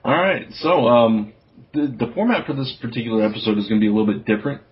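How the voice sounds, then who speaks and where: A man's voice: medium pitch, fast talker, slight background noise. A man hosting a podcast in a casual, loose chatting style. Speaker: podcast host. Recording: slightly dull lo-fi audio.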